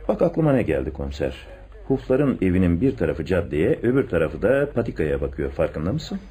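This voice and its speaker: An actor playing a confident police chief inspector in a radio play, with an expressive, deliberate theatrical delivery. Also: male voice, low voice, breathy edge, exaggerated voice. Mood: confident, reasoning. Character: male police chief inspector, secretly in love, confident theorist. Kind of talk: Turkish radio theatre acting.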